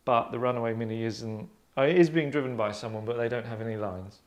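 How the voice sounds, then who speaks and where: A man in his thirties hosting a casual group discussion, talking in a hesitant, rambling, deadpan way. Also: medium pitch, fast. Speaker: host and narrator of a table-read show. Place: small furnished lounge, indoors.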